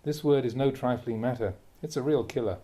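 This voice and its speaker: Monk guy reading out buddhist teachings, soft mellow tone, mid pitch, measured but emphatic. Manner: measured, emphatic, with rhetorical questions. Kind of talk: reading a translated Dharma talk aloud, with brief asides.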